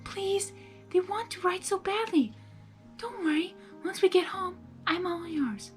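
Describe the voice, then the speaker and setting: A woman voicing a gentle, timid female pony bride from a cartoon fan fiction, soft-spoken and hesitant. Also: very high-pitched, a little slow, soft background music, pitched above the performer's own voice. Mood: coaxing.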